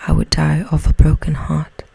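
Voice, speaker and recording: A woman voicing a gentle, earnest young fairy-tale prince in a slow, soft, breathy whisper. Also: low voice, completely flat delivery, exaggerated voice. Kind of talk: whispered bedtime storybook acting.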